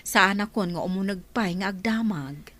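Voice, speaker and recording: A woman's voice: mid-range voice, normal speed, a bit bright, lightly acted. A woman playing a friendly older village woman who sells fruit in a radio drama. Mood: affectionate.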